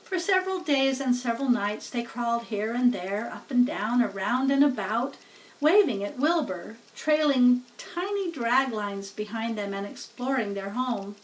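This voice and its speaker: A woman reading a children's book aloud as a storyteller, in deliberate scripted narration. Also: fairly high pitch, animated, distant mic, low-quality recording. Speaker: storybook reader.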